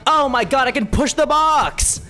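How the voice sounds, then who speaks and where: A woman streamer giving casual, playful and excitable commentary as she plays a video game, sometimes swearing. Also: high voice, normal speed, soft background music. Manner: playful, excitable, profane, given to silly repetition. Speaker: streamer reacting while playing a video game.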